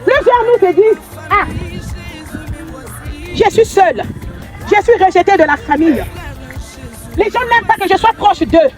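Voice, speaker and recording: Woman preacher, very high voice, exhorting with call and response, crowd and music behind. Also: lively intonation, normal speed, pauses often, darkish tone. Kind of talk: sermon with interpreter. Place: outdoor prayer gathering.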